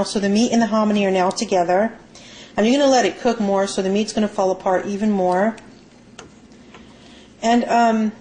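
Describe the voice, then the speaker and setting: A woman's voice: mid-range voice, darkish tone. A woman giving a casual, rambling, unscripted cooking demonstration as a home cook in her kitchen. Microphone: handheld camera mic. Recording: noisy amateur camera audio with clicks.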